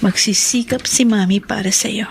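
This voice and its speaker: A woman's voice: medium pitch, fairly even intonation, normal speed, background noise, lightly acted. A woman acting in a Filipino radio drama as a wounded but devoted young mother. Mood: tender, resolute.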